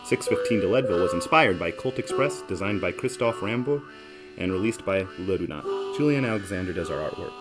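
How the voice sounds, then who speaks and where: Brisk man reading show credits. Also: deep voice, lively intonation, over loud music. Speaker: credits announcer. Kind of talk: scripted credits narration.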